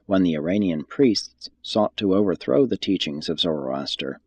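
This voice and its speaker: Low, slightly husky male audiobook narrator. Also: very animated, moderate pace, a little mellow, no echo. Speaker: reader of a religious text.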